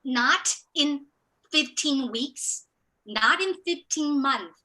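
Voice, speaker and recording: A woman's voice: high-pitched, animated, extremely slow, sharp tone, rough recording. A middle-aged woman from Taiwan with a polished American-sounding English accent leads a motivational business training. She talks with enthusiasm and often repeats words as she starts a thought. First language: Chinese. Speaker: business coach hosting a training. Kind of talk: motivational live training talk.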